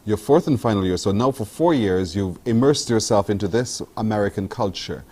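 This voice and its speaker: A man's voice: fairly low pitch, lively intonation, fairly quick, a little mellow. A man hosting a morning show, asking probing, conversational questions. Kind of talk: interview questions.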